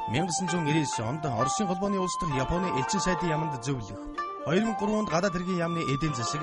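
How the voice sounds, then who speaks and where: A male announcer reading a scripted biography in a steady list of dates. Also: fairly high pitch. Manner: reads a list of dates quickly. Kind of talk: scripted narration. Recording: loud music underneath.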